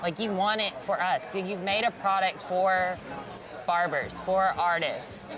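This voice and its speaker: A woman's voice: on the low side, fairly even intonation, unhurried, crowd noise. A woman interviewing a brand founder, enthusiastic and full of "like" fillers. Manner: enthusiastic, heavy use of "like". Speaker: interviewer, barber. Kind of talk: sit-down interview. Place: outdoors at a busy trade convention.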